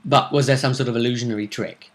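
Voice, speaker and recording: A man's voice: medium pitch, steady tone, fast talker. A man talking casually as a game master, recapping tabletop role-play in hesitant storytelling fragments.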